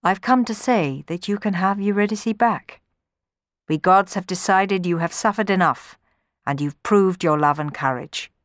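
A woman's voice: mid-range voice, measured pace, no echo, close to an everyday voice. A woman puts on a kindly god of love from a mythical storybook. He is cheerful and playful but speaks with command, in a dry recording. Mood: benevolent.

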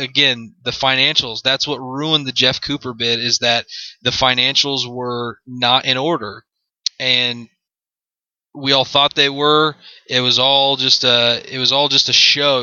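A man's voice: mid-range voice, pushed and bright, dry recording, highs cut off. A man in his mid twenties with an American accent, hosting an unscripted solo sports podcast in a rambling way, often repeating his phrases. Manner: rambling, repeats phrases, leans on "you know". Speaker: podcast host.

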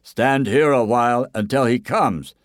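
A man's voice: medium pitch, unhurried, dry recording, studio quality, voice pushed up, lightly acted. A man voicing curious, cautious village farmers in a lighter, raised voice.

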